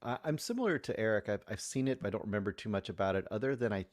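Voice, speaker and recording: A man with a very deep voice co-hosts a film podcast over a call. He chats casually with dry, understated humor. The sound is dry and close. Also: lively intonation, rapid-fire, no room sound. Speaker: podcast co-host.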